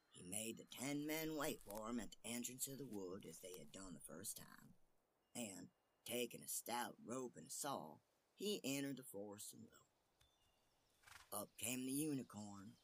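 Low-voiced male fairy-tale storyteller. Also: a bit bright, a little hiss or hum. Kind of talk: expressive fairy-tale narration.

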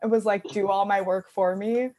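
A woman's voice: mid-range voice, fairly even intonation, normal speed, mellow. A bubbly woman hosting a podcast, chatting casually and laughing often. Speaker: podcast host. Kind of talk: casual chat.

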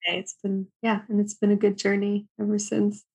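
A young woman from Germany, a podcast guest talking in a soft, hesitant way with many ums. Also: mid-range voice, monotone, moderate pace, slightly rough. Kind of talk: casual interview chat.

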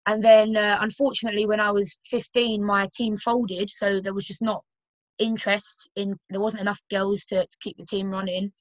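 A woman's voice: mid-range voice, little pitch movement, moderate pace, no echo, poor audio. A young woman in her twenties with a London accent, chatting as a guest in a casual podcast interview, full of fillers and restarts. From Romford, London. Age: 20s. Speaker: guest footballer and personal trainer.

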